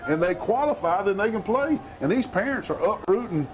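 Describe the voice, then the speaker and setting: A middle-aged play-by-play man with a slightly high, hoarse voice. He calls the game in a folksy, excitable drawl, with faint ambience behind him. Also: fairly quick, slight background noise, low-quality recording. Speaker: play-by-play announcer. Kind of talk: local football commentary.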